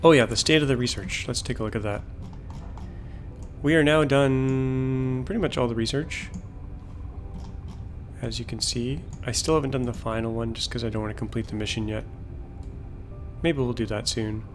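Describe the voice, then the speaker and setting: A man's voice: medium pitch, fairly even intonation, moderate pace, pauses often, faint music behind. A man thinking aloud as he plays a factory-building game, casually musing over his production lines, says he is losing his voice. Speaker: gameplay video host. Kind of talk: casual let's-play commentary.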